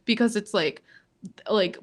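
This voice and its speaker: A young woman in her twenties co-hosting a podcast in casual chat, talking in a flat monotone and filling nearly every phrase with "like". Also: measured pace. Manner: says "like" constantly, flat and low-key, laughs often. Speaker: podcast co-host.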